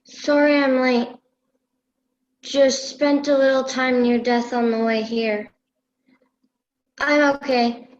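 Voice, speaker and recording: A woman's voice: high voice, steady tone, slow pace, pauses often, a little hiss or hum. A teenage girl playing an ailing latecomer who insists she is fine, in a stage comedy on lo-fi call audio. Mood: forced cheer. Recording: dull lo-fi video-call audio.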